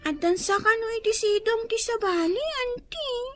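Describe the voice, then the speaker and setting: A puzzled, innocent young child in an Ilocano radio drama. The voice is tiny, extremely high and bright, with an Ilocano Filipino accent. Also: female voice, lively intonation, normal speed, no room sound, faint music behind.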